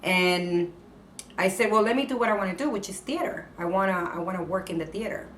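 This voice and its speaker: A woman's voice: mid-range voice, fairly quick. Passionate woman in an echoey room. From Puerto Rico. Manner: passionate, expressive. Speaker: interview guest, entertainment correspondent. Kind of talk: casual interview answers. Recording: echoey room, distant mic, faint noise.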